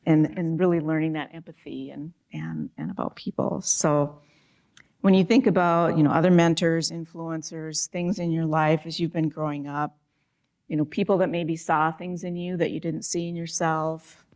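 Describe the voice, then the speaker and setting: Low-voiced woman leading a panel. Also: steady tone, moderate pace, a little mellow. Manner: earnest, with frequent restarts and fillers. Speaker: panel moderator. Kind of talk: reflective panel talk.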